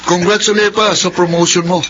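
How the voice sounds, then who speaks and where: An actor playing a cheeky, eager young son in a radio drama. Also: male voice, high-pitched, level tone, a little fast, close to an everyday voice. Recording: dull, noisy radio drama recording.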